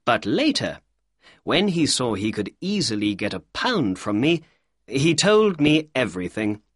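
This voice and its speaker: A narrator playing a confident, shrewd male detective in an audiobook story, courteous, deliberate and assured. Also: mid-range voice, very animated, breathy edge, no room sound, clean studio sound. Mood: amused. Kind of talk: audiobook character dialogue.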